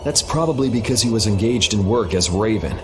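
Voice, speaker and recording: A man's voice: lowish voice, expressive, brisk, lightly acted. A man voicing a grim, blunt young adventurer in a video game, with music behind him. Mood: grim, bitter.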